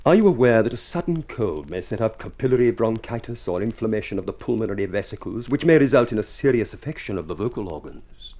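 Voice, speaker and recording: A man's voice: on the low side, animated, fast, few pauses, a little mellow. An actor playing a glib, grandiloquent man with a flowery tongue in a vintage radio drama. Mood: pompous sales pitch. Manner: florid, grandiloquent delivery. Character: ugly, glib, flowery-tongued suitor turned pushy remedy salesman. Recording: noisy vintage radio recording.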